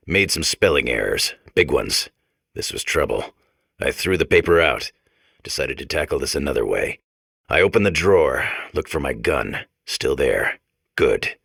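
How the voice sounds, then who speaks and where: Game casting: boring noir gumshoe robot, extremely low, gravel-throated, deadpan. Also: male voice, dry recording, studio quality, heavily acted. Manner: deadpan clipped noir narration. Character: boring hard-boiled detective robot core, world-weary, dull. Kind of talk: parody of 1940s noir detective narration.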